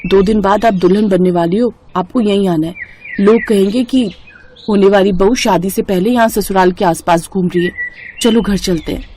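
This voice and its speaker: A woman's voice: mid-range voice, normal speed, a little mellow, a little hiss or hum, lightly acted. Woman as sensible, worried younger sister. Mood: worried, pleading. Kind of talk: Hindi moral cartoon story acting.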